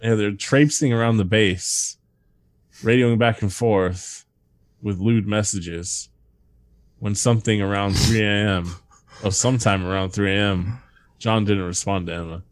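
A man's voice: lowish voice, fairly even intonation, unhurried, no room sound. A man hosting a comedy podcast, reading strange stories aloud and breaking into laughter and asides. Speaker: podcast host reading a countdown list.

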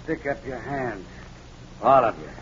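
An actor playing a menacing, gloating gangster with a mock-polite, leisurely manner in a 1940s radio crime drama. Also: male voice, medium pitch, expressive, unhurried, a bit bright. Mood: smug menace. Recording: dull, noisy old radio recording.

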